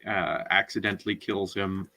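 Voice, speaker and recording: A man recapping a story in a casual book discussion, often halting as he searches for words. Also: deep voice, level tone, sharp tone. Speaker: podcast co-host.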